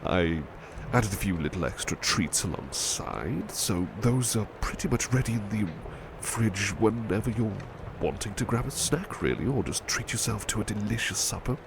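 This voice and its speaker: A man playing a gentle, devoted butler in an intimate ASMR roleplay, speaking politely and tenderly with a hesitant stammer. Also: fairly low pitch, lively intonation, normal speed, creaky, noisy background. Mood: tired, chatty. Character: gentle, devoted, flustered male butler, tired and affectionate.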